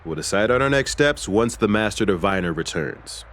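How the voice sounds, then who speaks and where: An actor playing a dutiful, anxious fantasy soldier in a video game, speaking earnestly and formally. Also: male voice, lowish voice, lively intonation, normal speed, a bit bright, a little hiss or hum. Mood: earnest, worried. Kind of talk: English video game dub acting.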